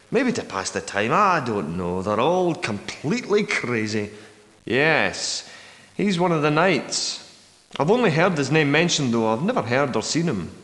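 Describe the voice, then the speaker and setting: A world-weary war veteran from a video game, trapped underground and haunted yet determined. He confides dramatically, with pitch that swings widely, and his voice rings in long, cavernous reverb. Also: a bit bright. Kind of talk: video game character dialogue. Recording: echoey reverberant cavern-like sound.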